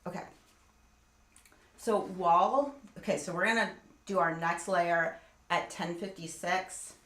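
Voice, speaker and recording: A woman's voice: on the low side, measured pace, a bit bright, reverberant. A woman in her forties hosting a live skincare stream, chatting casually while she explains each step and reads the chat aloud. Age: 40s. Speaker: skincare livestream host.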